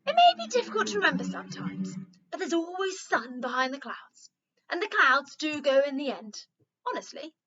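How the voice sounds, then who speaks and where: A woman's voice: high-pitched, very animated, normal speed, fairly bright tone, muffled recording. A woman voicing a kindly, chatty old lady in a storybook, with a merry, reassuring manner. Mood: warm, reassuring.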